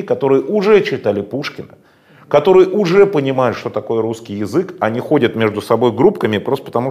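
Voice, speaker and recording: A man's voice: medium pitch, darkish tone. A man giving long, emphatic, lecturing answers in a political interview, with frequent hesitation sounds. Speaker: interview guest.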